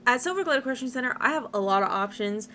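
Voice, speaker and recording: A woman's voice: fairly high pitch, expressive, quick pace, pushed and bright. A woman giving a casual gaming guide commentary as a video host, chatty, informal and encouraging. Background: background music.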